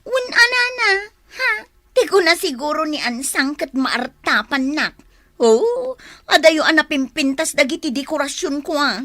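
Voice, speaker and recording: A woman's voice: high-pitched, sing-song, a little slow, sharp tone, no room sound, exaggerated voice. A woman acting an envious, competitive, gossipy neighbour in a comic radio drama, with an Ilocano Filipino accent. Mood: proud, showing off.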